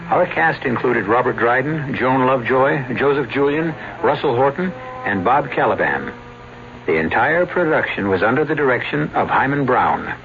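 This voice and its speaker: A man hosting an old-time radio mystery show, narrating from a script in a measured, theatrical, wry way. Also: medium pitch, animated. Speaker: host of radio mystery series. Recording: muffled vintage radio, clear hiss.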